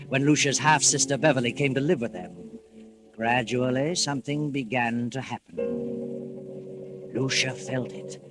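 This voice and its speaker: A man with a medium-pitched voice hosts an old-time radio thriller over clear background music. He narrates from a script in a measured, theatrical, ominous way. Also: poor audio. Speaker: host and narrator. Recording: old 1940s radio recording. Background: dramatic music.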